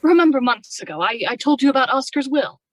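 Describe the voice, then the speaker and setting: Lady acting young wife w a high voice, confiding but stubborn, old time radio drama style. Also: expressive, fast. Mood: coaxing. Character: strong-willed young wife, politely persuasive.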